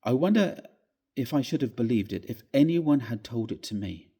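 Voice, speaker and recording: A man gives a measured, theatrical reading of a classic ghost story, with expressive phrasing. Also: mid-range voice, husky. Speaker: story reader. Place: inside a church, live reading.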